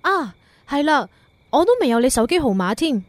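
A woman's voice: high-pitched, very animated, moderate pace, no echo. A woman playing a sweet, teasing young museum worker in a radio romance, playful and coy. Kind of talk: romantic radio drama dialogue.

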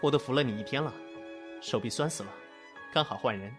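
A soft-spoken young male designer with a gently teasing way of talking, as a man acts him out. He sounds easygoing and unhurried in this audio drama. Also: mid-range voice, over music, lightly acted. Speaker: lead character.

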